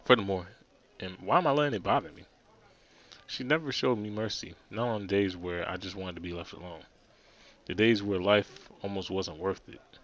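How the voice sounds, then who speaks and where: Low-voiced man reading a visual novel. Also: moderate pace, fairly bright tone, highs cut off. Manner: stumbling restarts, playful asides. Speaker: let's play narrator.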